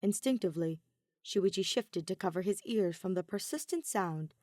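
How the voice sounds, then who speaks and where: A woman narrating fan fiction like an audiobook, measured and emotive, with dramatic pauses. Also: medium pitch, expressive, dark tone, no room sound, studio quality. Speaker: podfic reader.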